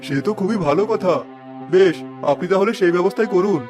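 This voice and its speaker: A man's voice: sits high, a little fast, over music, lightly acted. A man voicing a caring, protective middle-aged father in a cartoon, firm with his wife.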